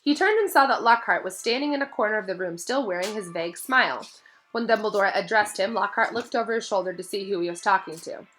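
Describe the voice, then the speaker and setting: A woman narrating a novel aloud in an expressive storytelling style. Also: mid-range voice, quick pace, slight background noise. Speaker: reader, narrator. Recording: music behind the voice.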